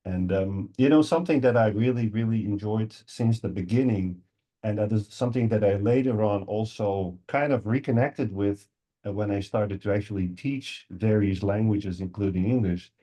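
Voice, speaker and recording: Low, mellow Dutch-accented man. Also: moderate pace. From Netherlands. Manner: hesitant, many ums and uhs. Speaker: guest English teacher. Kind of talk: podcast interview.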